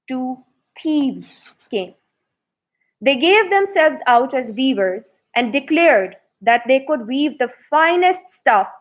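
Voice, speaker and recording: Female children's storyteller, high pitch, slow pace, expressive read-aloud narration, deliberate emphasis.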